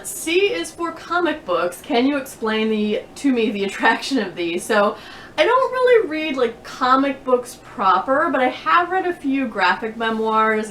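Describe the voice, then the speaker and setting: A woman's voice: medium pitch, fairly bright tone. Female book vlogger, conversational and chatty, roomy sound with faint background noise. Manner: chatty, laughs easily. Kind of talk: casual chat to camera answering tag questions. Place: bedroom indoors.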